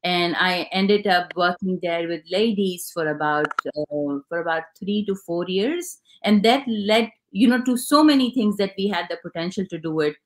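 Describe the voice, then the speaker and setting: A woman's voice: on the low side, moderate pace, few pauses, a little hiss or hum, poor audio. Pakistani woman, hesitant interview guest. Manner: hesitant, many fillers and restarts. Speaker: fashion designer, guest. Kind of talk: casual interview talk.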